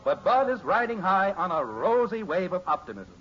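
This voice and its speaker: A man's voice: high voice, very animated, moderate pace, fairly bright tone. A man giving scripted narration for an old radio sitcom in a wry, breezy way. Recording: muffled old radio recording, music behind.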